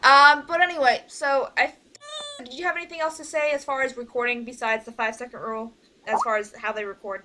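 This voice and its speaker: High-voiced woman, informal and halting. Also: fairly even intonation, pushed and bright, slight background noise, rough recording. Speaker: producer leading an online meeting. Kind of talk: casual group meeting talk. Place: online group voice call.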